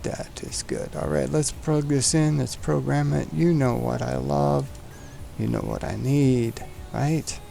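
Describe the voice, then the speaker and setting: Male sim-flying host, very gravelly, slow and easygoing, chatty and joking. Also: medium pitch, steady tone, dark tone, soft background music. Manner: chatty, joking, talks to the aircraft. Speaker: flight sim streamer host. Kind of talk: casual chat while flying a simulator.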